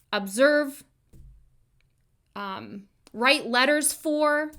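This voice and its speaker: A woman's voice: high voice, bright, forceful tone. A woman hosting a motivational solo podcast, speaking emphatically and informally straight to her listeners, repeating phrases for emphasis. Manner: informal direct address to listeners. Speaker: podcast host, chiropractor and wellness coach.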